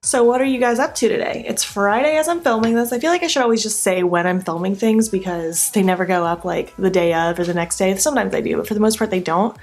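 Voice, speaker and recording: A woman's voice: mid-range voice, quick pace, hardly any pauses, faint music behind. A young woman vlogger chatting casually while doing her makeup, sleepy-voiced and rambling with lots of filler likes. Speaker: beauty vlogger. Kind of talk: casual get-ready-with-me chat.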